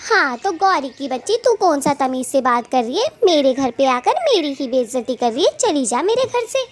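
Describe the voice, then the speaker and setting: Woman voicing a high-pitched, feisty little cartoon bird. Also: sing-song, normal speed. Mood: defiant, indignant. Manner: indignant, sharp-tongued. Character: feisty defiant little female tailorbird. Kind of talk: children's cartoon story dialogue.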